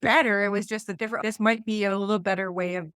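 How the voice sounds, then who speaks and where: A woman's voice: mid-range voice, fairly even intonation, fast, a bit bright, smooth, dry recording, studio quality. A woman with an Eastern Ontario Canadian accent chatting casually as a podcast co-host, in a rambling, anecdotal way. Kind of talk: casual conversational discussion.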